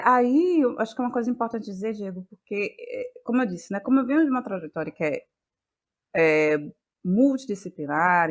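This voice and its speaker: Bahian Brazilian woman, reflective and emphatic. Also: mid-range voice. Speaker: guest, playwright, actress and journalist. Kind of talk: reflective interview talk.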